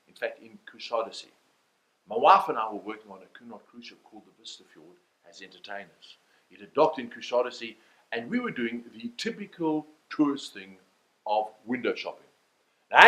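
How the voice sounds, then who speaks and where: A man's voice: medium pitch, a bit bright. A man with a South African accent gives a motivational talk, telling stories in a theatrical, emphatic way with rhetorical questions. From South Africa, Cape Town. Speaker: motivational keynote speaker. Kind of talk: animated storytelling sales talk. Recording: roomy, echoey sound.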